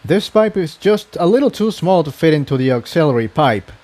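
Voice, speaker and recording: A man's voice: a little high, sing-song, fairly quick, darkish tone, voice pushed up. A man voicing an earnest, practical young male unicorn pony who explains things matter-of-factly in a story.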